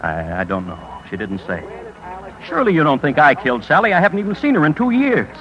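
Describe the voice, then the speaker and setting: A man's voice: a little high, big pitch swings, fast. An actor playing an anxious, well-mannered businessman in a 1950s radio drama, earnest and pleading. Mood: nervous, defensive. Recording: dull, noisy 1950s radio broadcast.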